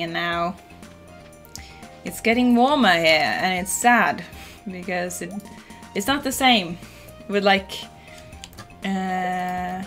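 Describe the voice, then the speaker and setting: A young woman from Norway with a Norwegian accent, chatting casually with viewers while playing a farming game at home, full of "like" fillers and the odd swear. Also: medium pitch. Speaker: streamer. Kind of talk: casual chat while gaming. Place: home room, indoors. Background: soft game music.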